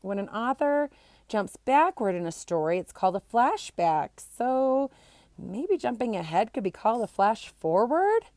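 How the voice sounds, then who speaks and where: A woman's voice: a little high, very animated, measured pace, darkish tone, no room sound, studio quality. A woman voicing a mild, thoughtful, encouraging female schoolteacher in a children's storybook. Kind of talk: children's storybook character reading.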